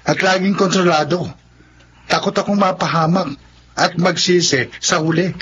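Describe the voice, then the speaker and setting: A man's voice: sits high, fairly even intonation, normal speed, highs cut off, noisy background, natural voice. An actor playing a sensible, good-natured male friend in a radio drama, easygoing as he gives advice. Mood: friendly, advising.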